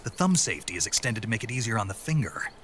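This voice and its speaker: A man playing a gruff, wary soldier in a video game cutscene, speaking tersely and seriously with a gravelly voice. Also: on the low side, big pitch swings, fast talker, a bit bright, a little hiss or hum. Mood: impressed, absorbed. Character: gruff, wary male soldier and gun expert. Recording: night insect ambience behind the voice.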